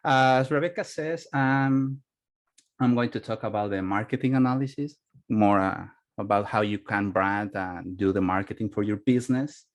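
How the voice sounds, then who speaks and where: Low-voiced man with a Spanish accent. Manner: halting English with word searching. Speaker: business consultant giving a webinar presentation. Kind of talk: informal presentation lecture.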